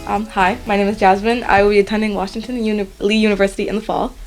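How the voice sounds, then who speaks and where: A young woman from Guyana, a podcast guest, reading her college admissions essay aloud in an earnest, steady way. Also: mid-range voice, fast, faint music behind, poor audio. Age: young adult.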